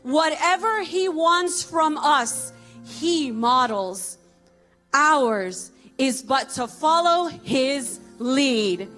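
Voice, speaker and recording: Slow careful woman reading a scripted devotional, pretty high voice, bit of music behind. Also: lively intonation, fairly bright tone. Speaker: reader of a devotional article.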